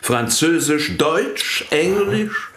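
An actor playing a calm, measured churchman in a radio drama. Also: a little high, reverberant, exaggerated voice. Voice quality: slow, measured.

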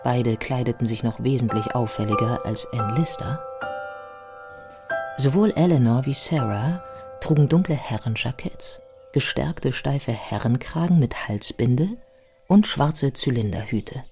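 A woman's voice: extremely low pitch, a little slow. A woman narrating a scripted biography as part of a radio play. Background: music.

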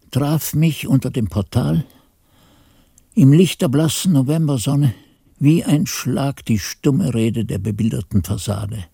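An actor playing a monk who solemnly recalls an awesome vision, narrating a radio drama in a gravelly voice. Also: male voice, mid-range voice, mellow, dry recording, studio quality, heavily acted. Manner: slow, solemn storytelling.

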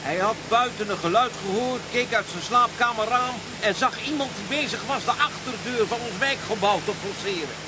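An actor playing a polite, earnest village pastor giving a witness account in a radio drama. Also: male voice, sits high, a little fast, hardly any pauses, natural voice. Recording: very noisy, hissy scene.

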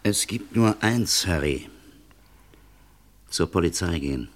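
Radio crime play: steady, insistent confidant, deep-pitched, reasons firmly and warns with emphasis. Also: male voice, slow. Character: level-headed, insistent male friend giving stern advice. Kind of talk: vintage German radio crime play acting.

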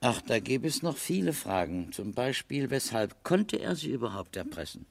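Probing male police captain, gravelly, animated mid-range voice, formal interrogator for radio crime drama. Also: mid-range voice, normal speed, no echo, heavily acted. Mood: insistent. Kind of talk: 1970s German radio crime drama acting.

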